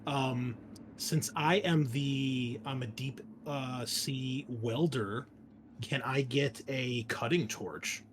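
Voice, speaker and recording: A man's voice: mid-range voice, steady tone, slow pace, slight background noise. A man chatting casually as a player in a tabletop roleplaying game.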